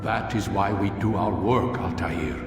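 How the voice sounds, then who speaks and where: An actor playing a stern, cryptic old master of assassins in a video game, measured, commanding and condescending. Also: male voice, fairly low pitch, natural voice. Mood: grave, knowing. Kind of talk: dramatic video game acting. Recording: distant, cavernous echo, music behind.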